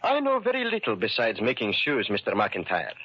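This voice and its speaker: A mild, polite shoemaker who plays detective in a vintage radio drama. His is clear in deliberate, formal phrases that rise and fall widely. The voice is slightly husky, on a dull old broadcast recording. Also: medium pitch, huge pitch swings. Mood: earnest, deliberate. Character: mild, methodical immigrant shoemaker turned amateur detective, married man.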